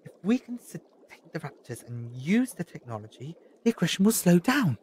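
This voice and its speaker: Woman playing low-voiced, defiant time-travelling hero. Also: sing-song, normal speed, background noise. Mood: urgent. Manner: earnest, emphatic. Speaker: actor in an audio drama. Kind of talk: dramatic audio drama acting. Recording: roomy echo with music behind.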